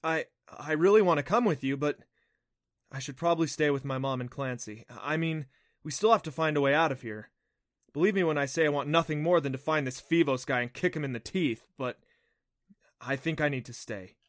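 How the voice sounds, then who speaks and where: A man voicing an earnest, excitable and easily confused boy hero in a storybook, with a hesitant, exclaiming manner. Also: fairly high pitch, brisk, no echo, clean studio sound, natural voice. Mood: torn, earnest.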